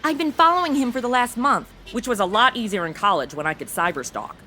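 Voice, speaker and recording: A woman's voice: on the high side, lively intonation, fast talker, sharp tone, slight background noise. An actress playing a brash, flippant young woman in a comedy audio drama, full of modern slang and sass. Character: brash, sassy modern young woman stuck in radio shows.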